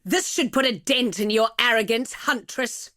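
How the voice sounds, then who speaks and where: A woman's voice: a little high, normal speed, fairly bright tone, natural voice. An actress playing an arrogant, ruthless female villain in video game combat, taunting and imperious. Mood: cool taunting.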